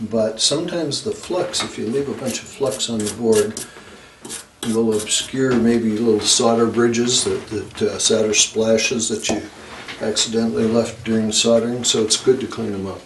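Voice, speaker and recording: A man narrating a hands-on repair tutorial in a halting, unscripted way, as if working at a bench indoors. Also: fairly low pitch, steady tone. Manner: hesitant, unscripted, halting delivery. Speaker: electronics repair video host. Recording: roomy, distant mic, noisy background.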